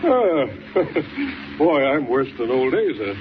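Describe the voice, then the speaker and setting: An actor playing a hearty, fearless governor in 1940s radio style, folksy and commanding, on a dull, noisy old recording. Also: male voice, medium pitch, sing-song, takes it slowly. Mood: jovial. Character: bluff, hearty, fearless male state governor.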